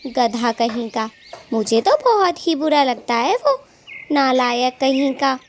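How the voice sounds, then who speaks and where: A woman's voice: high-pitched, lively intonation, slow pace, slight background noise. A woman voicing a gossipy, scheming female bird thief who dotes on her husband, in a dramatic, exclamatory children's cartoon manner. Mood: spiteful, scolding. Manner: dramatic, exclamatory, scolding and fawning. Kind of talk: Hindi children's cartoon dialogue.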